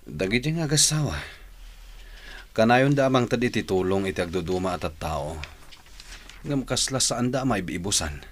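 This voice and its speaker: A man's voice: lowish voice, animated, moderate pace, pauses often. An actor playing a suspicious, stingy, envious husband in an Ilocano radio drama, grumbling as he talks.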